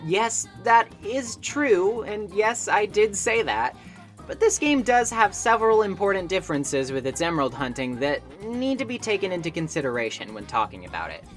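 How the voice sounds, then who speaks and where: A woman's voice: medium pitch, big pitch swings, normal speed. A woman narrating a scripted video essay as a game reviewer, with a wry, opinionated, conversational manner. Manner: wry, opinionated, conversational asides with mild swearing. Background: background music.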